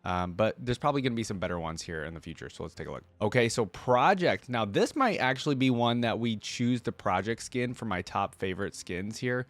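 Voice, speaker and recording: Low-voiced man, casual and dry-sounding. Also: animated, a little fast, few pauses, no room sound. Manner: informal, slangy, thinking aloud. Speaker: reaction video host. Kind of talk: casual reaction commentary.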